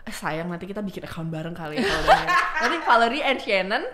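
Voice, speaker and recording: A woman's voice: mid-range voice, sing-song, fast talker, a loud crowd. A woman in her twenties with a Jakarta accent, telling stories in casual slangy chat and acting out the quotes as she goes. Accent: Jakarta Indonesian. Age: 20s. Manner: chatty, slangy storytelling with acted-out quotes. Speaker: podcast guest.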